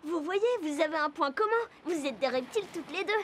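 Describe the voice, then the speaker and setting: A woman's voice: sits high, brisk, background noise, close to an everyday voice. A woman playing a cheerful, curious little girl in a children's cartoon, speaking in a friendly way straight to young viewers. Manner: bright, friendly, addresses young viewers directly. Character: Jasmin, cheerful curious little girl who travels to animals. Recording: music behind the voice.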